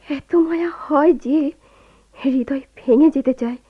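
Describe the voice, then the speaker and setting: A woman's voice: sits high, measured pace. A woman acting an anguished young wife in a radio play, pleading and deferential with a tremulous delivery, on a lo-fi recording. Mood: sorrowful. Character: anguished young wife clinging to her missing husband. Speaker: radio drama actress. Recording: dull lo-fi radio drama recording.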